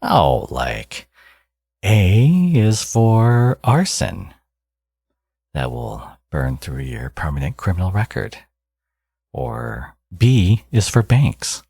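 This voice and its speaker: A man playing a smug, flirtatious villain in an intimate ASMR audio roleplay, teasing and coaxing, with a gravelly, husky voice. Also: on the low side, wildly swooping pitch, extremely slow, warm tone, no room sound, studio quality, full character voice. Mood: playful, doting.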